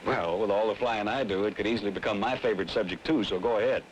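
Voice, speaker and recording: A man's voice: on the low side, quick pace, lightly acted, rough recording. An American man acting as a chatty, inquisitive frequent flyer in an old educational film, with a folksy manner. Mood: impressed, curious. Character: curious, chatty male frequent flyer, easily impressed. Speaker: actor as airline passenger. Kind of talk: scripted 1960s educational film dialogue. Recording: old 1960s film soundtrack, faint noise.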